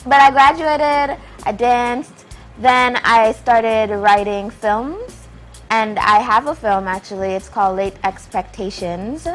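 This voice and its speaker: A woman's voice: on the high side, slow pace, soft background music, rough recording. A young woman from Trinidad and Tobago, raised mostly in the United States, chatting informally as an interview guest. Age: young adult. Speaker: playwright and filmmaker, interview guest. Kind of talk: casual conversational interview.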